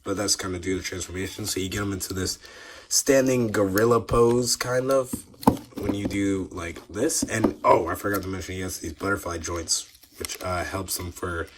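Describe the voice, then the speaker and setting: A man casually reviewing a toy, his voice slightly hoarse after being sick, rambling with many fillers and restarts. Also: low voice, moderate pace, heavy background noise. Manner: relaxed, rambling, frequent filler words and restarts.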